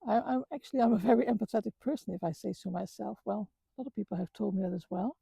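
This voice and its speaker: A middle-aged woman from the Netherlands with a Dutch accent, talking earnestly about her life with many halting restarts. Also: medium pitch, vocal fry, mellow, slightly rough, dry recording. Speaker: vlogger. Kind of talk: reflective personal talk. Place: outdoors on a walk.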